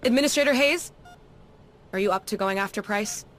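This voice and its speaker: A woman's voice: mid-range voice, fairly quick, a bit bright, close to an everyday voice. An actress playing a worried, pragmatic woman medic on a crew in a sci-fi video game. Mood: concerned. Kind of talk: cinematic video game acting. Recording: faint background noise.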